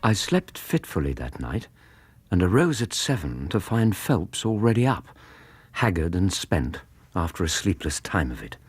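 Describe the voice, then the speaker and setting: An actor playing a steady, kindly English gentleman companion in a radio drama, gravelly, with measured, dry humour. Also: sits low, exaggerated voice. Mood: sombre narration. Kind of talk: radio drama acting and narration.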